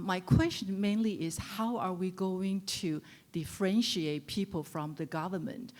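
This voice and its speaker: A woman's voice: fairly low pitch, darkish tone. Earnest woman asking a question. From Taiwan. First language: Chinese. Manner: earnest, halting with many fillers. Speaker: audience questioner. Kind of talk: public question at a panel. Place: auditorium.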